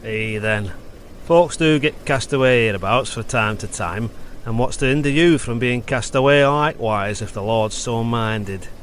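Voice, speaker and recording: A man plays a dour rustic of the moors in a Gothic audio drama. He speaks tersely in a northern English accent at a middling pitch, with noisy ambience behind him. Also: moderate pace, fairly bright tone, natural voice. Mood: grudging, dour. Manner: terse, grudging, dialect speech. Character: dour, gruff rustic moorland man with a lantern. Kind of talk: period audio drama acting.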